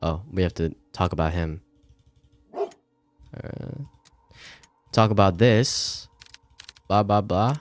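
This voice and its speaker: A man's voice: deep voice, slow, pauses often, a little hiss or hum. A man commentating casually to himself as he streams a visual novel and reads game text aloud.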